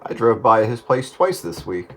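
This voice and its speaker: A man's voice: mid-range voice, sing-song, moderate pace, very noisy. A man chatting casually with fellow hobbyists over two-way radio.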